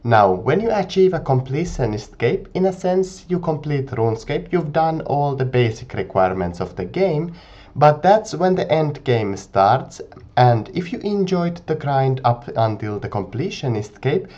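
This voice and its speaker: A man's voice: on the high side, a little slow. A man with a cold, giving casual, earnest commentary in a gaming video. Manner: earnest, explanatory, animated rise and fall. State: has a cold. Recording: dull lo-fi mic recording.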